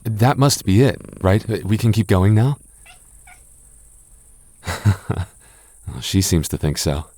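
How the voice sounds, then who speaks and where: A man voicing a gentle, shy goth boyfriend in an ASMR roleplay, soft-spoken and stammering as he reassures his listener. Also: sits low, slight background noise, heavily acted. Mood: relieved. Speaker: voice actor.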